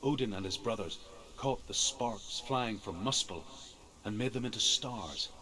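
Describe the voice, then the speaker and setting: Solemn male myth-teller, slightly low, sharp forceful voice, emphatic, measured, video game storytelling. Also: rough recording. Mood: solemn, dramatic.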